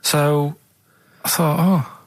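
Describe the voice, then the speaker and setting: A Northern English man rambles through a casual anecdote in a dry, close-sounding recording. His voice is middling to slightly deep for conversation, delivered deadpan and matter-of-fact, with a defensive edge as the radio sidekick. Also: drawn-out words, no room sound.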